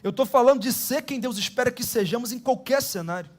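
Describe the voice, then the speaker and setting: High-voiced Brazilian pastor preaching. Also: male voice. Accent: Brazilian Portuguese. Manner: earnest, measured preaching with many rhetorical tags. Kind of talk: sermon. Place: church.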